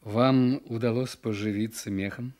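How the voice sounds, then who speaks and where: An actor playing a kindly, honest Russian official in a radio drama. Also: male voice, medium pitch, steady tone, measured pace, darkish tone, lightly acted.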